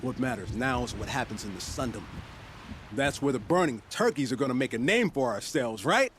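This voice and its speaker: Actor as a hot-headed young hunter, medium-pitched. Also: male voice, animated, brisk, fairly bright tone, slight background noise, close to an everyday voice. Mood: hopeful. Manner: emphatic, outspoken. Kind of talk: video game cutscene acting. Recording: music behind the voice.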